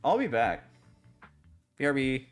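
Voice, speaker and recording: A young man streaming a game, chatting casually with viewers in a rambling, halting way, his voice slightly raspy. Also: mid-range voice, sing-song, faint music behind. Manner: rambling, halting, many restarts and fillers, swears casually.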